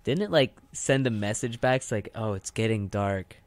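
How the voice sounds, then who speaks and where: A man's voice: fairly low pitch, lively intonation, normal speed, no room sound. A young American man co-hosting a radio show, chatting casually and rambling with lots of "like" as a filler. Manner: rambling, heavy use of "like". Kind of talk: casual chat. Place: radio studio.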